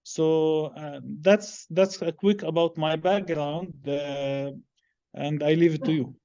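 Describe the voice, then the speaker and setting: Male interview guest, middle-aged, Lebanese accent, halting delivery, dull audio. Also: on the high side, steady tone, warm tone. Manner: halting, measured phrasing with many hesitations. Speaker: interview guest, business executive. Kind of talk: unscripted interview answers.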